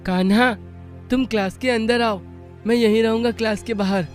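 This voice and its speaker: A man voicing a timid, eager young schoolboy in an animated bedtime story, full of startled questions and exclamations. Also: sits high, normal speed, soft background music, lightly acted. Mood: eager, hesitant.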